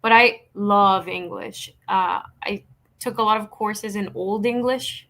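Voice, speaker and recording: Bright-voiced woman, Romanian native speaker. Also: medium pitch, fairly even intonation, slow, vocal fry. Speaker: guest. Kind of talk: casual chat.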